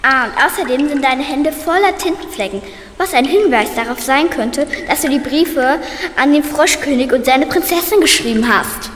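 A sharp, probing detective, as a woman plays the part lays out the evidence in a high voice. The room is echoey and a faint hiss sits under it. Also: animated, moderate pace. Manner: accusing, deductive. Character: clever detective Doctor Flint, sharp and probing.

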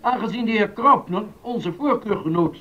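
A man's voice: high voice, lively intonation, normal speed, bright, forceful tone. An actor playing a formal, declamatory eighteenth-century council chairman in a period drama. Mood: formal, measured. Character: formal council chairman calling gentlemen to order. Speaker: actor in a docudrama. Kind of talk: period radio-drama acting. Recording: dull lo-fi sound.

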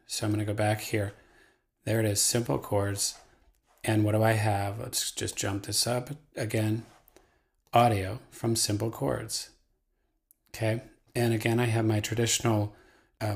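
A man giving a casual software tutorial as the trainer, talking in a folksy, playful way. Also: mid-range voice.

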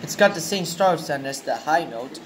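A man casually narrating a package unboxing, thinking aloud and getting excited, filmed on a handheld phone indoors. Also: fairly high pitch, normal speed, music in the background. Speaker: unboxing video host. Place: indoors, tiled floor room. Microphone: handheld phone camera. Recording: noisy room ambience.

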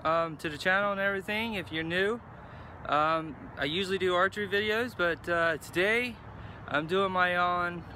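A middle-aged American man talking casually and haltingly to camera as a vlogger, outdoors at arm's length from the mic. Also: high voice, bright, forceful tone. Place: outdoors in an open parking lot. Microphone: phone or camera at arm's length. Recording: outdoor ambient noise, cars.

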